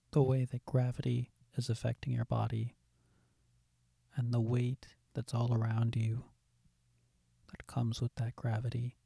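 A soft-spoken man leading a guided meditation with gentle, soothing, calm instructions. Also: mid-range voice, creaky, no echo. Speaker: meditation guide, podcast host.

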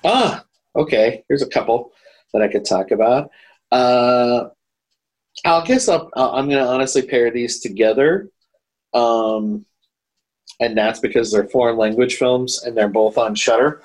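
Man chatting casually, halting and self-correcting. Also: mid-range voice, a little mellow, low-quality recording. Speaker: podcast co-host reviewing horror films. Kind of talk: casual film discussion.

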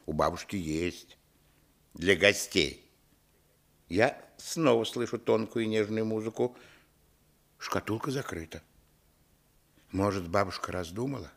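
A man's voice: on the low side, measured pace, creaky, pauses often, a bit bright, exaggerated voice. An actor playing a grown man recalling his village boyhood, narrating a radio play in a reflective storytelling manner. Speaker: narrator telling his childhood. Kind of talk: dramatised literary narration.

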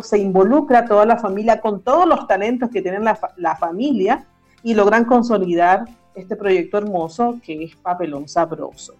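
A woman's voice: a little high, a little mellow, muffled recording. A woman hosting a radio interview show, speaking conversationally as she addresses listeners and her guest.

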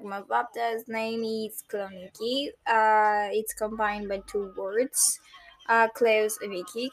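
High-voiced young Greek woman, rambling casually. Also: rough recording. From Greece. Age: early 20s. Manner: rambling, hesitant, informal. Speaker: podcast host. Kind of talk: casual solo podcast chat. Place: bedroom at home. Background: chill R&B background music.

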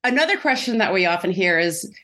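A woman's voice: mid-range voice, lively intonation, fast, pushed and bright. A middle-aged woman co-hosting a podcast in a casual, conversational way. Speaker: podcast co-host.

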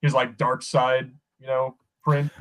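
A man's voice: medium pitch, flat delivery, a little slow. A man co-hosting a podcast in casual pop culture banter, with a sweary, sardonic way of talking. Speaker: podcast co-host.

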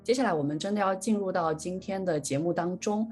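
A woman's voice: on the low side, a little fast, darkish tone, soft background music. A woman hosting a podcast, speaking earnestly and reflectively in a casual discussion. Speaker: podcast host steering discussion.

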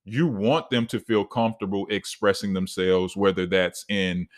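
Deep-voiced man musing earnestly. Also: fairly even intonation, normal speed. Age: 30s. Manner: earnest, rambling with many fillers. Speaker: podcast host. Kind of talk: solo podcast monologue.

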